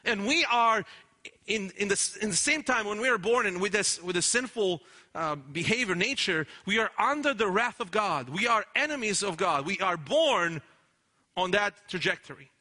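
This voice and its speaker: High, bright-voiced earnest preacher. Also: male voice, expressive, normal speed. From Romania. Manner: earnest, emphatic preaching with frequent restarts. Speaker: pastor. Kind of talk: sermon.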